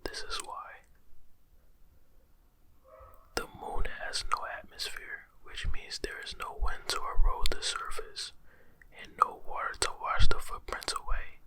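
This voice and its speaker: A man's voice: very high voice, lively intonation, moderate pace. A man whispering space facts for an ASMR video, slangy and playful, reacting with amazement and repeating words. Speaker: ASMR creator. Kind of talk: whispered ASMR fact telling.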